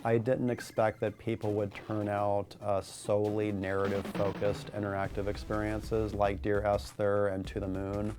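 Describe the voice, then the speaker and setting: A man talking conversationally in an interview as a game writer, with an even delivery. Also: fairly low pitch, normal speed, few pauses, faint music behind.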